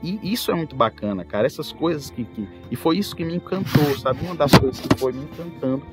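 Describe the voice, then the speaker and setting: A man with a Brazilian accent talks casually as a podcast host, telling gaming anecdotes in an animated, storytelling way. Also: fairly high pitch, fast. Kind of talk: casual anecdotal talk about tabletop role-playing. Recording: music bed behind the voice. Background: background music.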